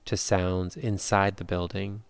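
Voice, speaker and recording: A man leading a guided yoga nidra meditation in calm, measured, unhurried instructions with long pauses. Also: sits low, vocal fry, clean tone, no echo.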